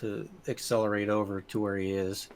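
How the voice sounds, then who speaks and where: Halting male game master. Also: fairly low pitch, flat delivery, a little hiss or hum. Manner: halting, often rephrasing mid-sentence. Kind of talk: improvised narration of a tabletop game.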